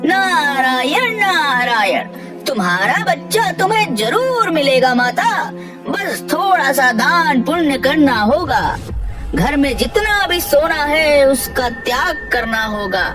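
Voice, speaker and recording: A sly swindling holy man from a cartoon fable, acted by a woman, speaks slowly in a high register. He draws out his words piously and soothes like a saint. Music plays clearly behind. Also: big pitch swings, heavily acted. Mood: sanctimonious, scheming. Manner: drawn-out pious chanting, soothing assurances. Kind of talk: cartoon moral fairy tale voice acting.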